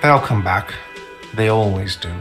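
An actor playing an irritable, jaded cafeteria manager in a video game, speaking in a dry, grudging way. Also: male voice, on the low side, unhurried, a bit bright. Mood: jaded, resigned. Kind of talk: video game character acting. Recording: music behind the voice.

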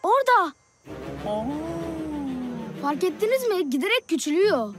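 A perky childlike cartoon character, talks in an extremely high voice. The delivery is showy and stubbornly insistent, made for children's animation. Music plays clearly behind it. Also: female voice, big pitch swings, unhurried, full character voice. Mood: defensive.

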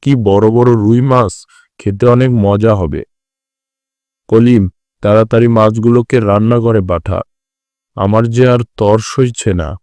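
A man's voice: lowish voice, steady tone, measured pace, long pauses, darkish tone, no room sound, natural voice. A man voicing a greedy, scheming village landlord figure in a cartoon, with colloquial rustic speech. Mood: gloating. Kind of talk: Bengali cartoon voice acting.

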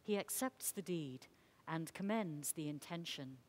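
A woman's voice: low-pitched, animated, measured pace, darkish tone. A woman reading a homily as clergy, in a solemn liturgical style. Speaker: clergy reader.

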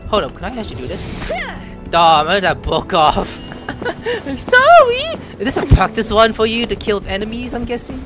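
A woman giving casual, excitable, exclamatory commentary while playing a video game, sometimes slipping into playful squeals. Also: fairly high pitch, normal speed. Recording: loud game music behind.

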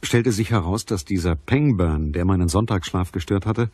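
A man's voice: sits low, moderate pace, darkish tone, breathy edge, no room sound. An actor playing a sceptical detective who narrates his case in a dry, composed way for a crime radio drama. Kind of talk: scripted first-person crime narration.

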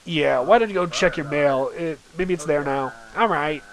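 A flippant teenage boy, read by a man, chatting casually in a webcomic. The voice is fairly high and muttered, with a hesitant, offhand delivery. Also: normal speed, lightly acted. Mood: flippant, low-key. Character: teenage boys bantering in online chat, flippant.

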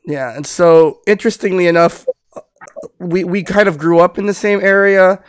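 A man's voice: high voice, fairly even intonation, normal speed, clean tone, no room sound, clean studio sound. A middle-aged man, born in the Philippines and raised in Southern California, hosting a casual podcast interview over a video call, talking in a rambling way with many restarts and fillers. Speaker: podcast host. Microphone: headphones with studio mic on webcam.

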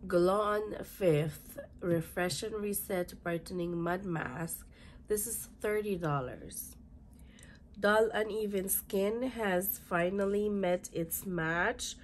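A woman in her thirties, hoarse and raspy from a cold, chatting through a beauty unboxing and reading product labels aloud in a halting way, in a small room. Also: fairly low pitch, a little hiss or hum. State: hoarse, congested from illness. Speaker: beauty vlogger. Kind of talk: casual unboxing chat. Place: small bedroom with fluffy chair, indoors.

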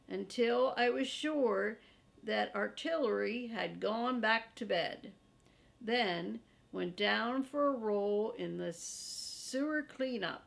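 A woman in her sixties reading a children's chapter book aloud in a folksy, deliberate way, as first-person narration. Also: on the high side, gravelly, fairly bright tone. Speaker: storybook reader for children. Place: small furnished room indoors, seated in an armchair.

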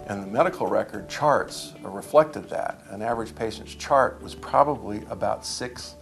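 A man with a low, gravelly voice tells a long anecdote about his early days in medicine. He rambles warmly through the story with plenty of fillers. Soft guitar plays faintly underneath. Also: moderate pace. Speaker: emergency physician recalling his training. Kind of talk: documentary interview storytelling.

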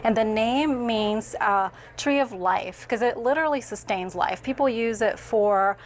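A woman's voice: fairly high pitch, measured pace. A woman from Brazil presenting products conversationally as an interview guest, on a lapel mic in a TV studio. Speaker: interview guest, beauty company founder. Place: busy TV newsroom studio.

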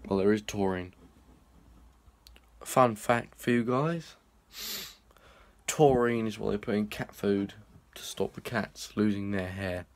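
A man's voice: on the low side, slow pace, pauses often. A young man, rambling and swearing in a casual vlog filmed on a phone held at arm's length. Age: young adult. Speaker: vlogger. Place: small indoor rooms and a hallway.

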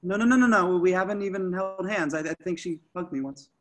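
A man's voice: high-pitched, brisk, natural voice. A man playing an awkward, defensive slacker in his twenties at a table read, hesitant and rambling. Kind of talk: table-read acting over video call. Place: remote group video call.

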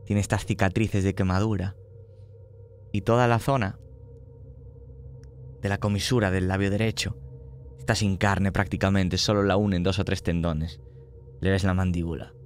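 A low-voiced man runs a tabletop horror game as its game master. He describes each scene in an unhurried, descriptive way over a faint hiss of background noise. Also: level tone.

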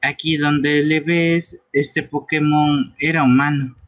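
A man's voice: a little high, little pitch movement, slow, fairly bright tone. A man streaming a live fan dub of a video game, reading its dialogue aloud in character. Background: game music.

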